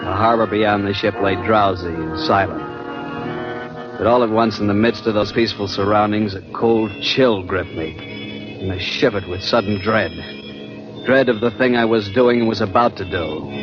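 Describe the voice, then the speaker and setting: Young American male adventurer, played by an actor, lowish voice, classic radio thriller style, muffled broadcast with prominent music. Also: normal speed, lightly acted. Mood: tense, brooding. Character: determined young male adventurer narrating with mounting dread. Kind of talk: 1940s-50s American radio drama acting.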